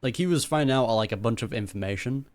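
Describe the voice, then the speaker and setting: A man's voice: medium pitch, fast talker. A man giving casual, slangy, joking commentary while reacting to a video game. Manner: joking, slangy, laughs easily.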